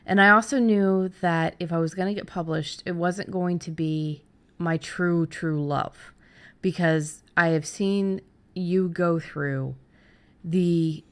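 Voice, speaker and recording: A middle-aged woman host talking casually and candidly about her own experience, haltingly, as if thinking aloud. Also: lowish voice, steady tone, clear, resonant tone. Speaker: podcast host and fiction writer. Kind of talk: casual conversational storytelling.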